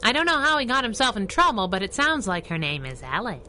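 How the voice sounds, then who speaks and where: Brief: streetwise crimefighting sidekick, sassy and knowing, animated pitch, bright edge, old-time radio serial. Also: female voice, quick pace, slight background noise. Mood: playful, knowing. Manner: wisecracking, teasing, knowing. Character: sassy masked heroine, teasing, brash, streetwise young woman. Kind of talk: 1930s radio adventure serial acting.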